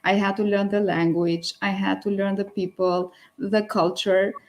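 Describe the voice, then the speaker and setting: A woman from Albania with an Albanian accent, a guest author in a live video chat, cheerful and quick to laugh between phrases. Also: mid-range voice, flat delivery, a little mellow. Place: at home at night. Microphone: video call.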